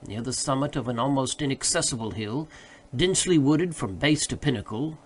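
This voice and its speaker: A man narrating a literary audiobook in a formal, expressive manner. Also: mid-range voice, a little hiss or hum.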